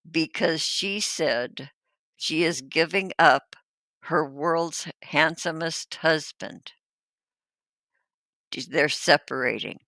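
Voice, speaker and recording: Slow-talking, lower-voiced woman co-host. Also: a bit bright, no echo. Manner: chatty, amused, drawling asides. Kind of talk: casual reaction chat.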